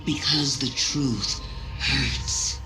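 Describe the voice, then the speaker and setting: A woman playing an ancient, calm and manipulative alien witch queen in a video game, with measured, coolly persuasive delivery. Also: a bass voice, heavily acted, low-quality recording. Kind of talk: dramatic video game dialogue. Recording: loud music under the voice.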